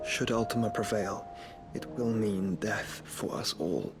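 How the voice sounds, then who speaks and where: An actor playing an earnest, noble younger brother in a dramatic video game, speaking formally and solemnly, with music behind him. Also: male voice, on the low side, unhurried, natural voice.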